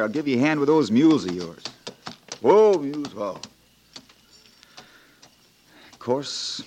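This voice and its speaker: An actor in a 1940s radio drama playing a young frontier lawyer, folksy, plain-spoken and wry but firm. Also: male voice, lowish voice, a little hiss or hum, lightly acted. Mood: gentle, humble. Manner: folksy, plain-spoken, joking, homespun turns of phrase.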